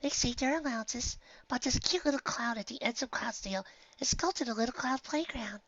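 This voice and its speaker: A reader voicing a shy, gentle young mare who speaks quietly and softly. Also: mid-range voice, fairly quick, dry recording, lo-fi sound, voice pushed up. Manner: soft, quiet, timid.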